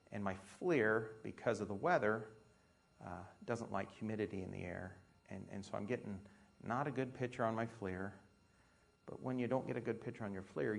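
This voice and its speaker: An American man, a military pilot, giving an informal storytelling talk in a conversational, halting manner full of asides. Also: fairly low pitch, vocal fry.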